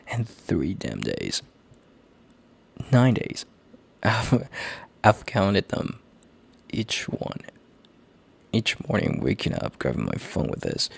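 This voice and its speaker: A man playing a gentle, worried boyfriend in an intimate audio roleplay, speaking in a halting, tender, hushed way with a gravelly, husky, breathy voice. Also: sits low, exaggerated voice. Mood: pained worry. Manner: halting, tender, hushed, sighing between phrases. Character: gentle worried boyfriend, relieved and heartfelt, on a call.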